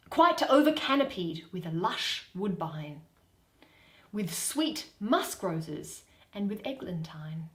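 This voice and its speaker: A woman plays a commanding fairy king in a verse play, stately and lyrical. The words come slowly with a wide, musical rise and fall, in a roomy space. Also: on the low side, a bit bright, full character voice. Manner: lyrical, measured. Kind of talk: dramatic Shakespeare verse reading.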